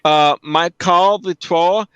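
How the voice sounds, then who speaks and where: A man's voice: high-pitched, slow pace, a bit bright, smooth, dry recording. A man co-commentating on a livestream, chatting casually over dull voice chat audio.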